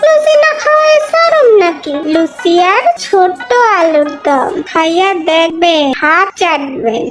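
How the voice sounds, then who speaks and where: Shrill, brash Bangal mother-in-law. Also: lively intonation, unhurried, slight background noise, exaggerated voice, poor audio. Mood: pushy hospitality. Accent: East Bengali (Bangal). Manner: blunt, sharp-tongued, theatrical grumbling. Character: brash, stingy, old-fashioned older mother-in-law. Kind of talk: cartoon dialogue. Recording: background music throughout.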